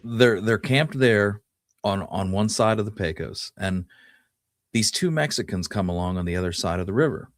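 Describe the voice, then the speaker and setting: Male podcast host, slightly low voice, folksy and conversational history storytelling, very dry sound. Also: moderate pace, dry recording. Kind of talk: casual storytelling, Wild West history talk.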